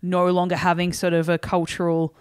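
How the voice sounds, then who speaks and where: A woman's voice: fairly low pitch, monotone, fairly quick, clear, resonant tone. A young woman co-hosting a podcast, chatting casually in a sardonic, rambling way and swearing freely. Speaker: podcast co-host. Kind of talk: casual chat.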